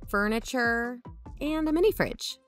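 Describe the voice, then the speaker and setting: A woman's voice: on the high side, animated, a little slow, fairly bright tone. Woman acting as frank podcast cohost, determined, chatty scripted delivery, some music in the background. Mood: matter-of-fact. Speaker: podcast co-host. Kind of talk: conversational scripted podcast narration.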